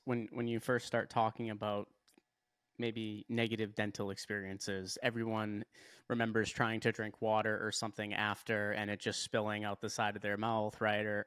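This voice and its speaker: A man's voice: fairly low pitch, a bit bright, smooth, dry recording, studio quality. A man hosting a podcast, upbeat and friendly, who often repeats words as he finds his phrasing. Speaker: podcast host. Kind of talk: podcast interview.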